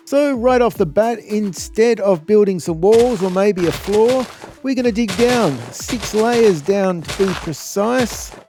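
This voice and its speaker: A man with an Australian accent and a notably high voice narrates a gameplay tutorial. His delivery is informal and blokey, with a joking streak. Soft music plays underneath. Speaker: video host.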